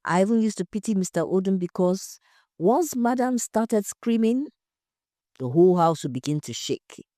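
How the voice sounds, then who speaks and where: A woman's voice: medium pitch, lively intonation, moderate pace, dark tone, dry recording, studio quality, voice pushed up, natural voice. A woman voicing a candid, chatty young washman in an audio story.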